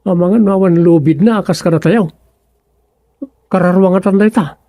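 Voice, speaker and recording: A man's voice: sits high, normal speed, warm tone, no room sound, clean studio sound, close to an everyday voice. An actor playing an earnest younger man in a comedic radio drama, explaining things insistently to his old father. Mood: concerned.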